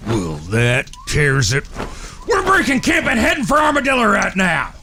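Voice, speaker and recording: A cranky, profane eldest brother of an outlaw gang in a western audio drama, played by a man. His high voice is raspy and creaky, and it swings wildly as he grumbles in exasperation. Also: wildly swooping pitch, normal speed, sharp tone, a little hiss or hum, full character voice. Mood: grumpy. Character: foul-mouthed, irritable eldest outlaw brother in the Old West.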